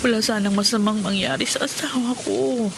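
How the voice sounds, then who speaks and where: High-voiced fretful wife, noisy recording. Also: female voice, brisk, creaky. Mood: anxious. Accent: Filipino. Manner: fretful, pleading, expressive. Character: worried, loving wife of a fisherman, scolding and anxious. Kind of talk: Filipino radio horror drama acting. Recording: noisy, rain ambience behind.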